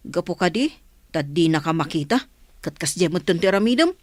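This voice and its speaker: A woman's voice: fairly low pitch, expressive, a little fast, dry recording. A woman acting a frank, reluctant young confidant in an Ilocano radio drama, with an Ilocano accent. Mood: questioning.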